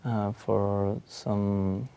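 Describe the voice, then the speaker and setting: Young man, gravelly, mellow tone, halting exam answers. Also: on the low side, flat delivery. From Peru. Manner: hesitant, many fillers and restarts. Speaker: exam candidate.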